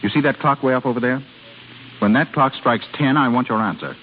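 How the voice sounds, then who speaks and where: An actor playing an ardent, possessive suitor in a 1930s radio drama, speaking in an impassioned, insistent, theatrical way. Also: male voice, mid-range voice, brisk, lightly acted. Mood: intense, possessive. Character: ardent, possessive male suitor pleading his love. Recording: tinny crackly 1930s radio broadcast, music behind.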